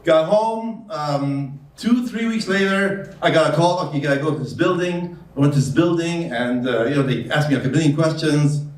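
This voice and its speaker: A man's voice: fairly high pitch, normal speed, few pauses. Accented man doing stand-up in a roomy club. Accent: foreign. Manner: deadpan storytelling with hesitant fillers. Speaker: stand-up comedian. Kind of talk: stand-up comedy set. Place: comedy club stage. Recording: roomy club sound from a distance. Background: audience laughter.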